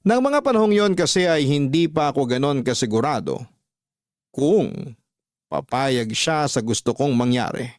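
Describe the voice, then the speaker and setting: An actor playing an unrepentant young womanizer, narrating his love story in a measured, confiding, dramatic way for a radio drama. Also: medium pitch, animated, no echo, studio quality, close to an everyday voice. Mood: confessional, unrepentant.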